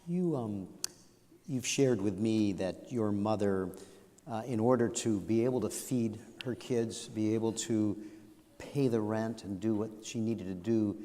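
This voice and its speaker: A man hosting an interview, gently prompting his guest with questions and frequent soft acknowledgments. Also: fairly low pitch, measured pace. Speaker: interviewer host. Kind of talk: guided interview. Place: museum auditorium. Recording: long hall reverb.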